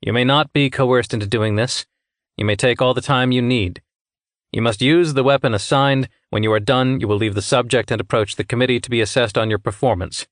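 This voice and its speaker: A man's voice: mid-range voice, steady tone, brisk, no echo, studio quality, lightly acted. A man voicing a short male official in a narrated story, reading out rules in a procedural, ceremonious way. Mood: formal.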